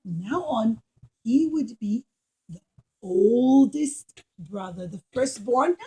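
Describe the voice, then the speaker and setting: A high-voiced woman tells a story to children. She uses simple, emphatic phrasing and addresses her young listeners directly, like a teacher. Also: animated, slow pace, warm tone, rough recording. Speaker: children's puppet show storyteller. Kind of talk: animated storytelling for children, asking questions.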